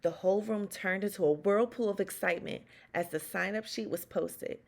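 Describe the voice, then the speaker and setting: A woman reading a children's storybook aloud as first-person narration, expressively, with dramatic pauses and emphasis. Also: mid-range voice. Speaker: children's read-aloud host.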